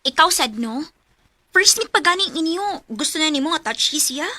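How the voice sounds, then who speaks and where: Excitable pushy cebuano girlfriend type, radio drama, really high bright voice, dry sound. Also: moderate pace, no echo. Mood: scolding. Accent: Cebuano Filipino. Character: excitable, pushy young woman matchmaking her friend. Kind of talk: Philippine radio drama acting.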